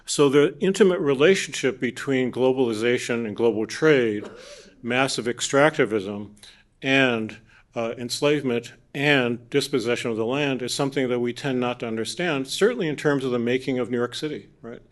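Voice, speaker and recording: A man's voice: medium pitch, moderate pace. Man moderating an academic panel. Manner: discursive, full of restarts and asides. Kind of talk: academic keynote talk. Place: conference hall.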